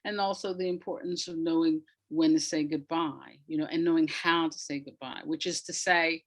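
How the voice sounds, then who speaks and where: Lower-voiced woman, reflective interview guest. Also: steady tone, moderate pace. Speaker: guest playwright. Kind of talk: thoughtful interview conversation.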